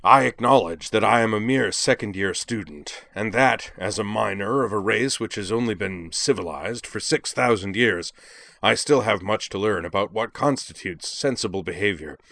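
A man's voice: on the low side, normal speed, vocal fry, a bit bright, dry recording. A man voicing an indignant young alien student who declaims in a pompous, wordy, theatrical way, in an audiobook-style story. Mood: indignant, declamatory.

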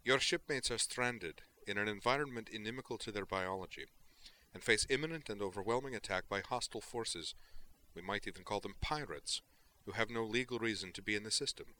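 A man acts out a young alien woman in an audiobook story. She is fiery and defiant, with formal, impassioned delivery. The voice is bright and pushed higher than the reader's own. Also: a little fast, slight background noise, poor audio. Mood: formal, determined. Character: fiery young female alien pleading before admirals.

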